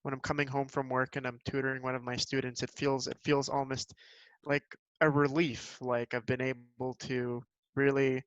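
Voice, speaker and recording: A man's voice: mid-range voice, level tone, normal speed, clean tone, no room sound. Earnest young man, slightly muffled. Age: young adult. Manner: agreeable, earnest, hesitant. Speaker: podcast guest, university student. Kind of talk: casual conversational interview. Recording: slightly dull lo-fi sound.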